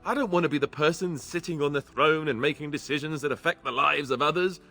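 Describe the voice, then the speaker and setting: An actor playing an awkward, earnest young warrior and reluctant heir in a video game, hesitant and self-deprecating. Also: male voice, fairly high pitch, quick pace, sharp tone, soft background music. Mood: flustered, self-deprecating. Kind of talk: naturalistic video game acting.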